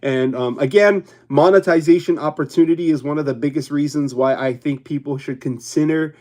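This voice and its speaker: A man's voice: a little high, a little fast, dark tone. A young man from Hawaii hosting a casual livestream, chatting with his viewers in a rambling way and laughing often. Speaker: YouTube livestream host.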